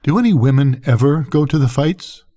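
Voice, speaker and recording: A proud, tense ranch wife from a storybook, read by an older man. She is insistent and wistful, in a soft-edged husky voice that swings widely in pitch. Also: animated, normal speed, natural voice. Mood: uneasy curiosity.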